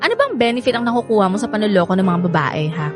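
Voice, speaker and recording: A woman's voice: medium pitch, expressive, racing, over music, close to an everyday voice. An actress with a Filipino accent playing an irritable, guarded young woman in a radio drama, sarcastic and combative. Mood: irritated, sarcastic.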